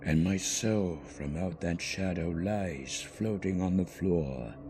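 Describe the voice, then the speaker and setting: A man voicing a brooding, tormented gothic gentleman, reciting dark poetry in a grandiose, theatrical, drawn-out delivery. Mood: bleak. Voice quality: deep theatrical bass, an impression of a veteran voice actor. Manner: grandiose, slow, drawn-out delivery with big emphasis. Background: music under the voice.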